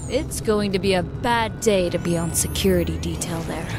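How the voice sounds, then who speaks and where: An actress playing a hard-edged, sneering rebel fighter in an audio drama. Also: female voice, lowish voice, moderate pace, over music, close to an everyday voice. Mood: scornful.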